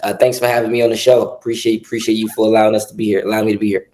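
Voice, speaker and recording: A man from the South Side of Chicago, a real estate investor talking as a podcast guest in a measured way, often repeating words. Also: fairly low pitch, flat delivery, a little mellow. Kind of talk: casual podcast interview.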